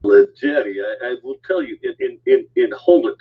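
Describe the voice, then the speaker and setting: A man co-hosting a casual live stream, joking deadpan and stammering through restarts. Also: medium pitch, moderate pace, gravelly, husky. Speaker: co-host. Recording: tinny phone-like line.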